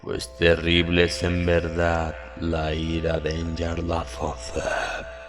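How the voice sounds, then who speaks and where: An ominous, ancient occult book speaks through a male narrator in a very deep, slow voice. It intones each phrase solemnly, like an incantation in a horror audiobook, with music underneath. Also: monotone.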